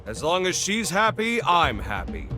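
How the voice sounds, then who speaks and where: Theatrical high-voiced eccentric gentleman. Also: sing-song, unhurried. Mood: wistful, fond. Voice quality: high-pitched, swooping delivery. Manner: pompous, florid, exclamatory and theatrical. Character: grandiose, eccentric, tea-loving gentleman, theatrical and affable. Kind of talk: theatrical video game character acting. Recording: music behind the voice. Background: clear background music.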